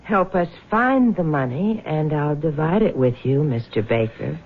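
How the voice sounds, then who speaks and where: Husky, low, sharp-tongued bitter widow. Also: female voice, expressive, a little slow, phone-quality sound, heavily acted. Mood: demanding. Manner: combative, scornful. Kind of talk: 1970s radio drama acting. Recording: thin, band-limited vintage radio recording.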